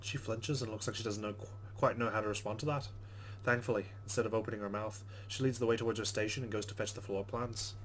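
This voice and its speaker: A man's voice: fairly low pitch, fairly even intonation, fast, a little hiss or hum, rough recording. A man hosting a let's play, reading game narration aloud with casual commentary.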